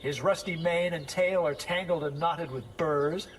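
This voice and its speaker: An actor playing a fussy, lovestruck, pompous young schoolmaster in an audio drama, with theatrical, precise diction. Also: male voice, a little high, steady tone, unhurried, close to an everyday voice. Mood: dismayed. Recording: faint background noise.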